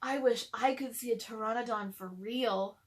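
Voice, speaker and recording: A woman's voice: a little high, moderate pace, natural voice. A woman voicing a cautious, bookish young boy in a storybook, speaking earnestly and carefully. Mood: curious.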